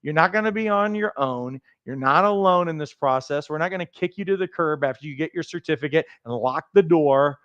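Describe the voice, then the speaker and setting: A man with a raspy voice hosting a livestream, giving a fast, emphatic, motivational pitch for coach training. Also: a bit bright, no room sound, dull audio. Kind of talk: energetic sales pitch on a livestream.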